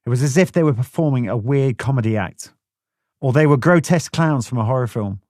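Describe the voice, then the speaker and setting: A man's voice: mid-range voice, a little fast, a little mellow. A man narrating a first-person memoir audiobook in a blunt, plain-spoken way, swearing freely.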